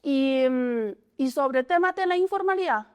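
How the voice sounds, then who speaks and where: A woman's voice: high voice, lively intonation, moderate pace, a little mellow. A woman, answering interview questions in a hesitant way, often restarting and filling with "o sea". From China. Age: 40s. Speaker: statistician and interviewee.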